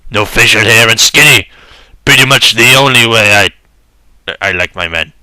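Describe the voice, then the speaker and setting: A man's voice: medium pitch, moderate pace, pushed and bright, no echo, poor audio. A young man giving a dramatic first-person reading of a story in a mock-serious, earnest manner.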